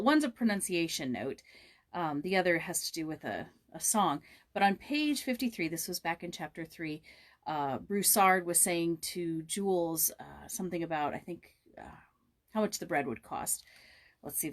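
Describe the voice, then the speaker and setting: A woman chatting casually as host and narrator of a read-aloud, informal and thinking aloud as she goes. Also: fairly low pitch.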